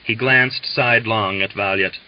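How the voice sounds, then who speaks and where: Low-voiced male audiobook reader, dull lo-fi sound. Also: fairly even intonation, a little slow, slight background noise. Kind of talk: scripted literary narration.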